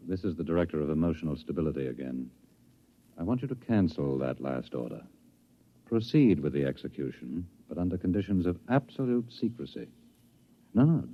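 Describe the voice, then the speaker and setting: A cold, powerful bureau director in an old sci-fi radio play. His voice is very low and swings expressively, measured and patronizing. The vintage recording is muffled. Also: exaggerated voice. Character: cold, smooth, controlling male director of a dystopian bureau. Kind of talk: 1950s radio drama acting.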